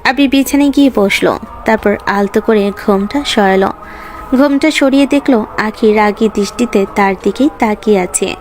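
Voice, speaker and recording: A woman's voice: mid-range voice, normal speed, a little mellow, soft background music. A woman reading scripted narration for a romantic story.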